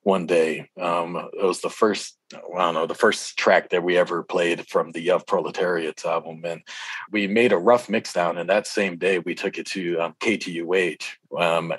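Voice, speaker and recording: A man from Hawaii, a rapper being interviewed, telling stories in an informal, halting way that often doubles back. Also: low voice, fairly even intonation, slightly rough, dry recording. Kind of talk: casual interview storytelling.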